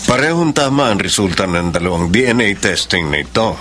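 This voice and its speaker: A man playing a grave, authoritative doctor in a Filipino radio soap, with deliberate suspenseful pauses and a Filipino accent. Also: lowish voice, very animated, a bit bright, slight background noise. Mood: firm, solemn. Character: grave, authoritative male doctor, sure of himself.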